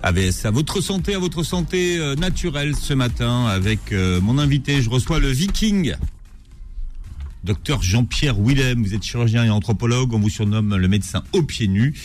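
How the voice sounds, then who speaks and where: A male radio host interviewing a guest, asking lively, teasing questions. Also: mid-range voice, normal speed, soft background music.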